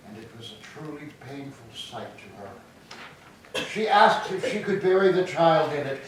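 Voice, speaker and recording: A sorrowful Greek herald, played by a man, speaks in a fairly high voice, measured and formal. It is a stage tragedy heard from a distance in a very echoey space. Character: sorrowful male Greek herald bringing grim news. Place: church, staged reading. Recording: very echoey hall, distant mic, some noise.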